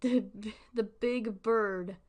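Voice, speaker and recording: A woman's voice: on the high side, fairly even intonation, drawn-out words, darkish tone. A woman streamer giving casual livestream commentary in an informal, rambling, giggly way.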